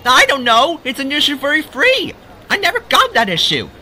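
A man voicing small, excitable cartoon monsters in a fan dub, exclaiming theatrically. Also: very high-pitched, moderate pace, slight background noise, exaggerated voice. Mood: excited, alarmed. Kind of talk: fan-dubbed cartoon acting.

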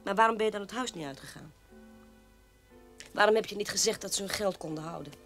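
An actress playing a mocking, sharp-tongued sister in a radio play, needling and scornful. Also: female voice, medium pitch, fast talker, faint music behind, natural voice. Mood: accusing.

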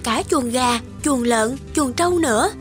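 A woman playing a gentle, devoted young fairy wife in a cartoon folktale, speaking earnestly. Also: high-pitched, a little slow. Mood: reassuring. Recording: music behind the voice.

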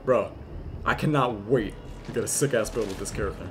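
A hoarse young man giving loud, profane commentary over his own gameplay, muttering one moment and yelling the next. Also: fairly low pitch, a little fast, music in the background. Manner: swings from muttering to yelling, swears a lot. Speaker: gaming YouTuber. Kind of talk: excited live gameplay commentary.

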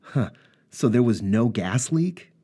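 A man voicing a dogged former police officer turned investigator, probing and dry, close to his own husky voice. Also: medium pitch, expressive, slow pace, dark tone, pitched above the performer's own voice, exaggerated voice.

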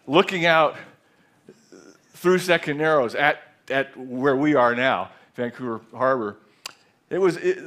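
Guy in his 60s, headset mic on a big stage, calm reflective storyteller, voice a bit on the high side. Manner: measured, reflective storytelling with some restarts. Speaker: speaker. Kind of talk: conference talk. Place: large theater stage.

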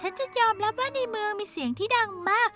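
Very high-pitched, spirited cartoon pony girl. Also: expressive, fast talker. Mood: nervous, polite. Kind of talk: animated cartoon dubbing. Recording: music behind the voice. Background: background music.

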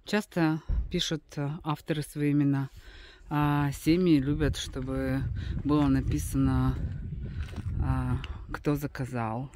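Mellow-voiced woman giving a casual tour. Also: extremely low pitch, level tone, takes it slowly, slight background noise. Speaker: travel vlogger and guide. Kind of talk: casual unscripted travel commentary.

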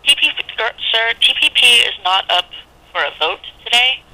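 A woman working as a congressional office staffer, answering a phone politely in a halting, formal manner. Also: on the high side, lively intonation, pushed and bright. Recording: telephone line audio.

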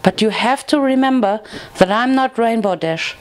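A woman voicing a caring, reassuring young female pony leader in a story, speaking earnestly and gently. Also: on the high side, moderate pace, close to an everyday voice.

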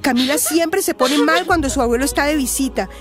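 A woman's voice: sits high, a little fast, over music, lightly acted. An actress with a Colombian accent playing an earnest, concerned female neighbour in a radio soap opera. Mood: firm, insistent. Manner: earnest, insistent. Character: firm, concerned woman neighbour confronting a friend.

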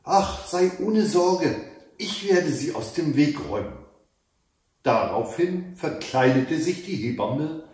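Man, middle-aged, higher-pitched voice, expressive fairy tale narration, very echoey room. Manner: deliberate, careful storytelling delivery. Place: indoors in a book-lined room at home. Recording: echoey room, mic away from speaker.

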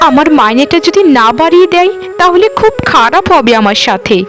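A woman's voice: sits high, animated, fairly quick, over music. A woman voicing a stubborn but caring young housemaid in a cartoon, with an argumentative manner. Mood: defiant. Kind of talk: cartoon voice acting.

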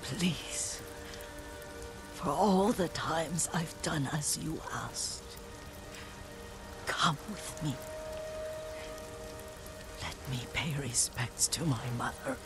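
A frail old serving woman lost in her memories, in a video game drama. Her voice is low and whispery, and she speaks slowly and haltingly, tender and wistful. Music plays behind her. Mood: pleading. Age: older. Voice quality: breathy, whispery. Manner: halting, wistful, softly trailing off.

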